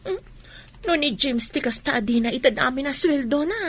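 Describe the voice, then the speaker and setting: A woman's voice: sits high, lively intonation, moderate pace. A woman playing an inquisitive, concerned best friend in an Ilocano radio drama, with an Ilocano accent and a probing, exclamatory manner.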